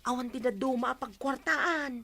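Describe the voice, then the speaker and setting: A woman's voice: sits high, normal speed, sharp tone, exaggerated voice. A woman playing a cheeky, deferential housemaid who jokes with her employer. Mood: chatty. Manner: playful, deferential. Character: cheeky longtime female housemaid, joking. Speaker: maid.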